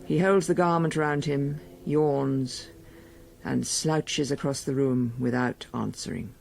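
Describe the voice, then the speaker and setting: Casting: tired, watchful wife as narrator, radio drama, slow delivery, noisy ambience. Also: female voice, very deep voice, full character voice. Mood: flat, disdainful. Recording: clear background noise of scene ambience.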